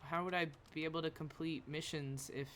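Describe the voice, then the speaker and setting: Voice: mid-range voice, fairly even intonation, brisk, fairly bright tone, a little hiss or hum. A game streamer chatting casually and rambling while thinking aloud on a live stream.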